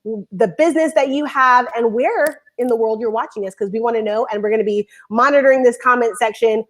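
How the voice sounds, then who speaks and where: A woman hosting a live online talk show, bubbly and rambling, talking in quick bursts. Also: a little high. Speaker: show host.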